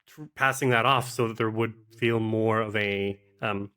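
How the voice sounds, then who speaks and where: A man talking casually as an interview guest, explaining his work in halting phrases and often correcting himself. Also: lowish voice, fairly even intonation, sharp tone, clean tone. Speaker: podcast guest, actual-play game master and producer.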